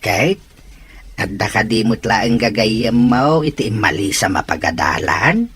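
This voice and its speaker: A woman playing a gentle old grandparent who gives patient advice in a serial audio drama. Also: rumbling low, unhurried. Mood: gently advising. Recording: faint background noise.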